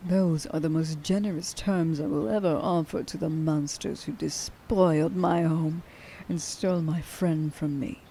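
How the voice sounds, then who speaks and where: A woman playing a stern, grieving huntress in a dramatic ASMR roleplay monologue, halting and intense in her delivery. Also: deep voice, fairly even intonation, mellow, a little hiss or hum. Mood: cold, menacing. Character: stern, grieving young huntress who hates werewolves.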